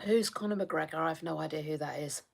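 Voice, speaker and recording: A woman's voice: low voice, quick pace, vocal fry, slightly rough. An English woman in her thirties hosting a livestream, chatting casually and reacting to viewer comments. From England. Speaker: livestream host.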